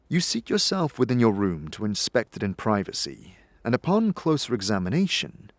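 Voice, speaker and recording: A man narrating a fantasy tale in a scripted, dramatic style, with suspenseful, deliberate storytelling. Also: on the low side, dry recording.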